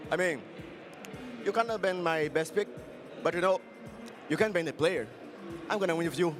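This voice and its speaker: Brazilian-accented pro player, cocky and quick, higher voice, music playing behind. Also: male voice. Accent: Brazilian Portuguese. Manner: cocky, quick bursts, halting English. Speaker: esports player. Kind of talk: brief on-stage trash talk.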